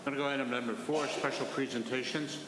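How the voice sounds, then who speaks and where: A man's voice: mid-range voice, steady tone, quick pace. A man chairing a town council meeting as mayor, speaking informally with fillers. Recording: echoey council chamber with background noise.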